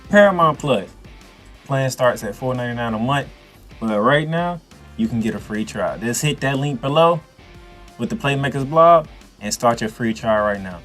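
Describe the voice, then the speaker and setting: Male sports host, echoey room with music behind, emphatic announcer delivery, excitable recap style. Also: medium pitch, moderate pace. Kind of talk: excitable college football recap commentary.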